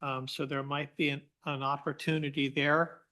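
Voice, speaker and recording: A man's voice: fairly high pitch, flat delivery, moderate pace, pushed and bright. A man on a planning commission who offers tentative suggestions at a public meeting.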